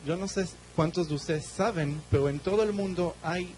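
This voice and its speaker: A man's voice: high-pitched, a little mellow, slight background noise, low-quality recording. Israeli man preaching in short phrases. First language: Hebrew. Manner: speaks in short phrases, pausing for the interpreter. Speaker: guest preacher. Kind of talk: sermon with live interpretation.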